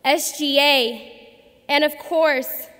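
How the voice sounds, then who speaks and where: Woman, 20s, student commencement speaker, earnest measured delivery, podium mic, high pitch, reverberant arena. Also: fairly even intonation, pushed and bright, slight background noise. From United States. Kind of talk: formal graduation speech. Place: large arena at a lectern. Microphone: podium microphone.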